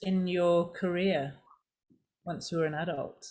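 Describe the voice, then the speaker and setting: A woman hosting a podcast interview, asking unhurried questions with fillers. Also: fairly low pitch, steady tone, a little mellow. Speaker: podcast host.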